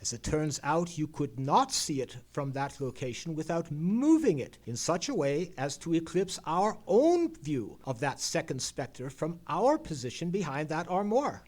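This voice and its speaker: An actor playing a knowing gentleman detective in an old-time radio play, speaking in formal, measured phrases with dramatic pauses. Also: on the high side, sing-song. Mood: probing, ominous.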